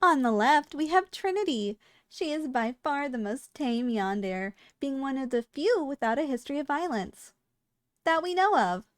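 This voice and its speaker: A high, clearly feminine voice in a very dry recording, a woman acting as a businesslike shop clerk in an ASMR roleplay. She gives formal, courteous sales patter to the customer. Her delivery leans on dry understatement, keeping everything professional. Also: sing-song, normal speed, dry recording. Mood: brisk, professional. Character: polite, businesslike female shop clerk selling dangerous yandere girls. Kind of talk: scripted ASMR roleplay addressed to the listener.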